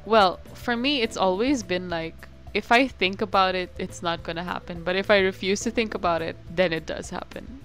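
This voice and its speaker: A woman's voice: lowish voice, moderate pace, few pauses, fairly bright tone, faint music behind. Giggly young woman ranting playfully. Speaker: podcast co-host. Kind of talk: casual chat.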